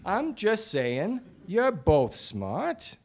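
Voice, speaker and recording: An actor playing a wry, deadpan 1940s private detective in a radio noir drama. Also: male voice, high voice, drawn-out words, heavily acted. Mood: sardonic. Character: wry 1940s hardboiled male private detective, dryly exasperated. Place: theatre stage before a studio audience. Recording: live radio play with music behind.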